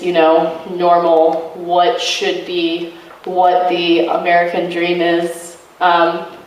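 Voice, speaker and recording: A woman activist giving an informal talk to a student group, earnest and loud, with lots of ums. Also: fairly low pitch. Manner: earnest, many fillers. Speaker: activist speaker. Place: college meeting room. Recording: echoey room from a distance.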